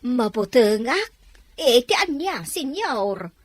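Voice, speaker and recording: A woman's voice: fairly high pitch, sing-song, takes it slowly, no echo, heavily acted. A woman acting a deferential but chatty household maid in an audio drama. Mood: nervous.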